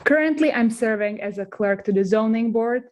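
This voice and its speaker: A woman's voice: a little high, moderate pace, darkish tone. A woman from Slovakia with a Slovak accent, politely giving a prepared candidate introduction. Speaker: town clerk candidate.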